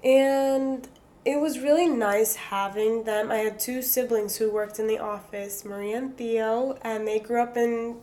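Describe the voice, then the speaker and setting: Guest: young Greek woman, slightly high voice, chatty and quick to laugh. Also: fairly even intonation, warm tone. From United States. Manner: chatty, laughs often, mixes in Greek words. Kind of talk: casual interview chat.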